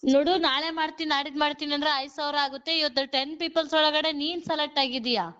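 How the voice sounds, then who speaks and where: Brief: high, rapid-fire woman recruiter, pushy sales pitch, muffled phone line. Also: steady tone, pushed and bright. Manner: pushy, repeats "okay?" to hurry the listener. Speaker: job recruiter on a phone call. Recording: muffled phone call recording.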